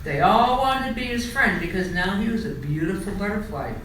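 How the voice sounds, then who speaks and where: Female storyteller, very echoey distant recording, expressive children's read-aloud, dramatic delivery. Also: medium pitch, a little fast. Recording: echoey room, distant mic, faint noise.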